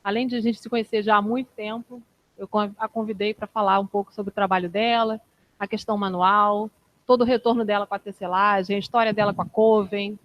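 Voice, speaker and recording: Woman host, relaxed and friendly, medium pitch, dull muffled sound. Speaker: course coordinator and event host. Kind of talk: informal event introduction. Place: event room with an audience.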